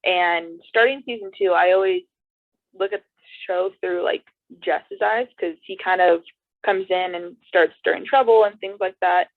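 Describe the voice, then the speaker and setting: Woman host, lowish voice, chatty and into it, tinny dry call audio. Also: moderate pace, dry recording. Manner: enthusiastic, chatty. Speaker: podcast host. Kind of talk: casual chat. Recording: tinny phone-like dry line.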